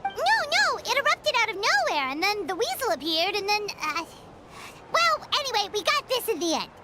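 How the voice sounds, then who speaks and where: A woman voicing a tiny, excitable, childlike fairy companion in an anime game, with a squeaky, bubbly delivery. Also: normal speed, over loud music. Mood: flustered. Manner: bubbly, emphatic. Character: tiny chirpy fairy companion, excitable, speaks in third person.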